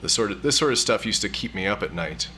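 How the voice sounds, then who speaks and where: A man's voice: lowish voice, animated, quick pace, bright, forceful tone, slight background noise. A man playing a sheepish, nerdy hacker in an audio drama, hesitant and self-justifying. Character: sheepish, nerdy male hacker, brooding digital security veteran.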